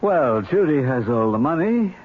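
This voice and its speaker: An actor in a 1970s radio drama playing a sly, knowing older uncle with a suave, insinuating manner. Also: male voice, fairly high pitch, sing-song, a little slow, breathy edge, heavily acted. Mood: knowing, needling. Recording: tinny, noisy old radio recording.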